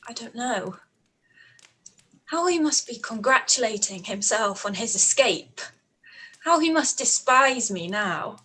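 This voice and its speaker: A woman's voice: on the high side, animated, a little slow, pauses often, bright, forceful tone, husky, heavily acted, rough recording. A woman playing an earnest, outspoken young Regency woman in a period radio play, with formal diction. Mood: anguished. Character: Lizzy, anguished, outspoken young Englishwoman of Regency times.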